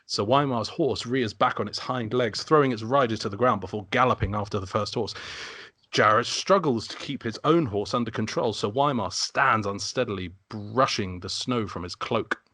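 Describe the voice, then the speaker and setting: A man serves as narrator at an amateur table read, reading scripted stage directions. His voice sits fairly low and the sound is dry, with little room in it. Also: moderate pace, sharp tone, no echo.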